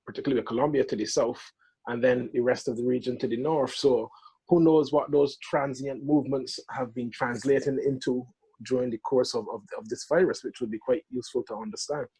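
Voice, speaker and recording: Co-host, male, husky, halting delivery on a video call. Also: mid-range voice, steady tone, dark tone, rough recording. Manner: hesitant, repeats words. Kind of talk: casual video chat.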